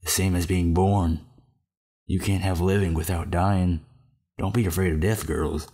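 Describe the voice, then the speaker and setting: Older male sage for audio drama, performed by a man: deep, husky, grave but gentle. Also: steady tone, unhurried, exaggerated voice. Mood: gentle, solemn. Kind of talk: amateur audio drama acting.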